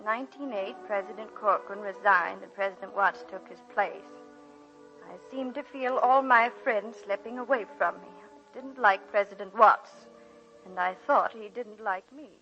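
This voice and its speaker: An actress playing a proud, warm-hearted, stubborn aging woman who teaches college English, in the style of 1940s radio drama. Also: mid-range voice, normal speed, faint music behind, lightly acted. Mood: reflective, bitter. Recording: dull, husky 1940s radio broadcast.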